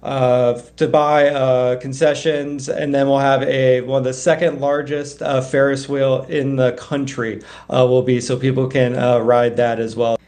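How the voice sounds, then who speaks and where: A man's voice: mid-range voice, little pitch movement, unhurried, few pauses, darkish tone. An American man speaking informally as an event organizer in a news interview.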